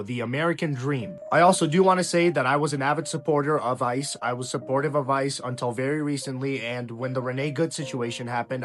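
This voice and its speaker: A young man from Ukraine giving opinionated political commentary in an emphatic, indignant way, swearing now and then. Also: medium pitch. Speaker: YouTube commentator.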